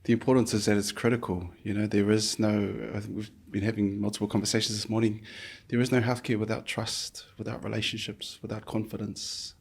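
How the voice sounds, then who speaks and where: A middle-aged man from New Zealand, a physiotherapist guest speaking thoughtfully in an interview, with many pauses and restarts. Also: lowish voice, level tone, gravelly, husky. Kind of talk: reflective conversational interview.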